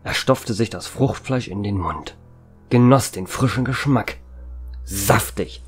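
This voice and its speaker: A man's voice: lowish voice, slow pace, breathy edge. A man reading dramatic scripted narration of a horror audio story. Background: background music.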